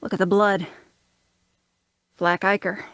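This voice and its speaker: A woman's voice: mid-range voice, level tone, slow pace, fairly bright tone, dry recording, clean studio sound. A woman playing a blunt, observant, hard-nosed mercenary in a fantasy audio drama. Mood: analytical. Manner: blunt, matter-of-fact.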